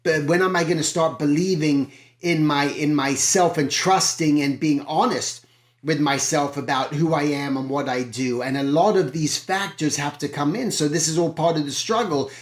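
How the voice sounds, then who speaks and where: A man's voice: fairly high pitch, moderate pace, reverberant. Earnest man giving a pep talk. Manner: earnest, encouraging, repeats phrases and asks himself questions. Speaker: art teacher and channel host. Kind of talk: motivational talk to camera.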